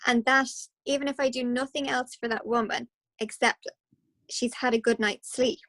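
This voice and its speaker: An Irish woman speaking as a guest hypnotherapist, passionate and animated, with quick restarts. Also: fairly high pitch. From Ireland. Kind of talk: casual interview talk.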